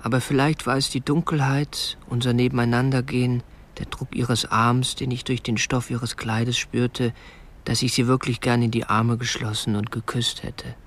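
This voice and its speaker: An actor playing a meek, obsessive young kidnapper who narrates a radio play in a restrained, earnest, stiffly polite way. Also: male voice, medium pitch, level tone, moderate pace, fairly bright tone, a little hiss or hum, natural voice. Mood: stiff, confessional. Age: young adult. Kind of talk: radio drama narration and dialogue.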